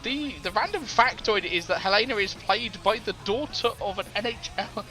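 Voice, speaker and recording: A man's voice: high voice, moderate pace, pushed and bright, poor audio. A man co-hosting a casual music podcast, rambling with many fillers and restarts and laughing easily. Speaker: podcast co-host. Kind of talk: casual chat about music.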